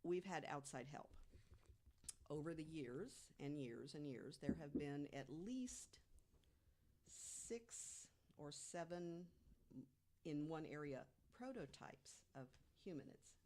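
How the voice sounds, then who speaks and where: A woman's voice: deep voice, expressive, takes it slowly, darkish tone. A woman speaking casually as a podcast guest, explaining things in a good-humored storytelling way. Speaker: guest panelist.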